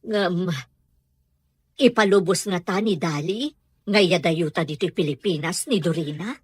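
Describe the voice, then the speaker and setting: A woman's voice: lowish voice, measured pace, slightly rough, dry recording, studio quality. A woman acting a worried, scolding middle-aged mother in an Ilocano radio drama. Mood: worried, pleading.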